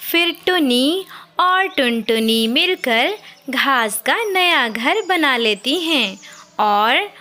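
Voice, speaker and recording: High, bright woman narrating children's stories. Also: lively intonation, extremely slow, faint music behind. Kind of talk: scripted children's story narration.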